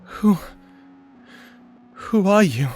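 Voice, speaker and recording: A performer playing an insecure, emotional young male wizard, earnest and halting, in a fantasy audio roleplay. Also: mid-range voice, animated, darkish tone. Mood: wary, startled. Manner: halting, stammering, pleading.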